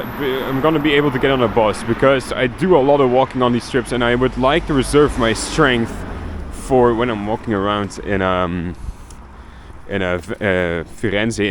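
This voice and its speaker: A man's voice: medium pitch, moderate pace. A young man from Belgium, rambling casually as he vlogs his travels, swearing now and then. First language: Dutch. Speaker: travel vlogger. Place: outdoors in a city street. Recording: faint street noise outdoors.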